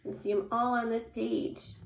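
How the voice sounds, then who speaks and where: A woman's voice: fairly high pitch, flat delivery, normal speed, gravelly. A woman teacher reading a children's story aloud expressively and explaining it simply with questions. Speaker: kindergarten teacher. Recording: echoey room.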